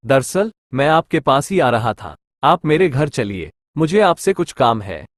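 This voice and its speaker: A man's voice: medium pitch, sing-song, unhurried, no echo, studio quality. A man acting village men in a Hindi cartoon moral story. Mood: coaxing. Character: gullible forgetful village priest and scheming greedy merchant.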